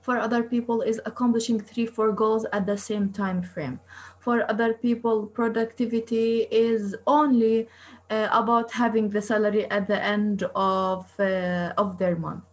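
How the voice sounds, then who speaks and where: Higher-voiced woman. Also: flat delivery, measured pace. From East Jerusalem. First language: Arabic. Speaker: interview guest, coach and researcher. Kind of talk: interview answers.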